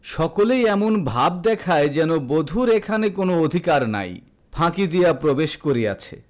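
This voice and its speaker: Man narrating a story, higher-pitched voice. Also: lively intonation, measured pace. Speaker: audiobook narrator. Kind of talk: scripted literary story narration.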